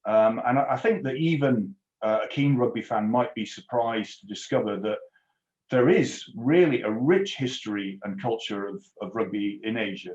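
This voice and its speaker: A man's voice: mid-range voice, a little mellow. A middle-aged man from Scotland with a mild Scottish accent, presenting on a webinar over a headset, dry and self-deprecating with many fillers. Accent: mild Scottish, softened. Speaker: rugby union executive, webinar guest. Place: home office. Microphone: headset on a video call. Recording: roomy, dull video call audio.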